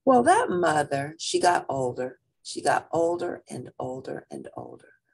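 A husky-voiced woman reading a children's picture book aloud in a dramatic, expressive way, drawing out repeated phrases. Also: sits low, a little slow.

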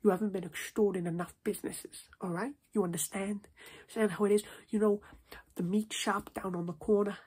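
A young man voicing a stern, scolding New Jersey mob boss in a hushed, husky ASMR roleplay. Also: high voice, steady tone, fairly quick. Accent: put-on New Jersey mobster. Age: 20s. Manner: repetitive, slangy, stern with checking tags. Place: small domestic room, indoors.

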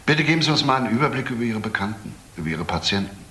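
An actor playing a middle-aged senior detective in a German crime radio play, questioning deliberately and insistently. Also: male voice, medium pitch, very animated, sharp tone, reverberant, slight background noise. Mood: probing, deliberate.

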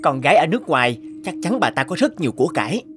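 A man's voice: a little high, sing-song, fast talker, fairly bright tone. A man voicing a greedy, pushy young schemer of a boyfriend in a cartoon. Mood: scornful. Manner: scheming, insistent. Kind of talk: cartoon voice acting. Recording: faint music behind the voice.

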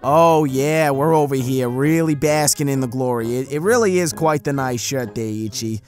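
A man's voice: medium pitch, moderate pace, soft background music, pitched below the performer's own voice, natural voice. A man voicing a cocky, laid-back giant lizard monster with a sarcastic, mocking manner in a cartoon dub. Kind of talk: cartoon comic dub voice acting.

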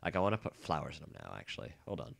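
A young man with a bad cold and a nasal, stuffy voice, chatting casually and rambling excitedly while playing a game. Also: very deep voice, rapid-fire, gravelly, dry recording. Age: young adult. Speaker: let's play gamer. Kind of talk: casual gameplay commentary. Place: dorm room.

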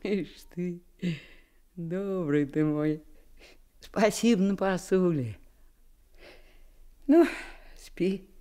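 An actress playing an affectionate old peasant nanny in a radio drama, with folksy, doting speech. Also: female voice, fairly low pitch, drawn-out words, pauses often, warm tone. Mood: affectionate, soothing. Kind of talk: Soviet radio drama acting.

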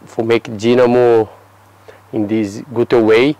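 A man in his thirties from Brazil, answering interview questions in halting English with a Brazilian Portuguese accent and many restarts. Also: mid-range voice, level tone, a little mellow, dry recording, rough recording. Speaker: football player. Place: outdoor terrace by a yellow building.

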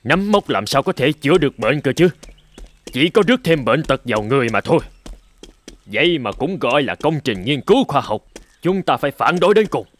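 A man's voice: medium pitch, sing-song, fairly quick. A man voicing a stubborn old medical critic in a dramatic cartoon dub, mocking, indignant and emphatic. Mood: mocking outrage. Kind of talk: dramatic animated-film dubbing.

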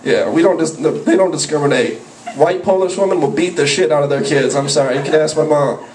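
A man's voice: a little high, a little fast, darkish tone. A young man performing open mic stand-up on a handheld mic, rambling and profane, jumping into yelped character voices. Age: young adult. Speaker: stand-up comedian. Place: small cafe with a live audience. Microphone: handheld mic, filmed from a far camera. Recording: roomy, distant camera audio with room noise. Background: audience laughter.

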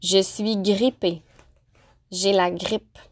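Woman teaching slowly. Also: medium pitch, steady tone. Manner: slow, carefully articulated example phrases. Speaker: French-as-a-second-language teacher. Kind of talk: clear, deliberate vocabulary lesson narration.

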